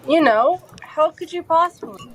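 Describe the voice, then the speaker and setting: A woman's voice: sits high, lively intonation, normal speed. A woman playing an earnest, worried middle-aged father and wizard official in a fan audio drama, with hesitant, insistent delivery. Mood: uneasy, earnest.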